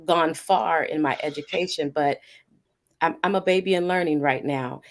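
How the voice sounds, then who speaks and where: A woman speaking as a guest on an online panel, sharing in a heartfelt, measured way. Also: low voice. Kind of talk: personal reflection on a panel.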